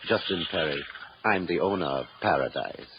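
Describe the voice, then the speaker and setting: A genteel, salesy rest-home owner. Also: male voice, low voice, normal speed. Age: middle-aged. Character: overly pleasant middle-aged male rest-home owner. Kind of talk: 1950s radio drama acting. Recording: dull, noisy old radio broadcast.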